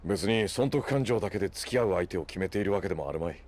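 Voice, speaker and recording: A shrewd, self-possessed leader with a low voice. He speaks coolly and dryly in measured phrases, in anime audio drama style. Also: animated, darkish tone. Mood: composed. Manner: cool, measured, dry. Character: composed, shrewd adult man, dryly teasing leader.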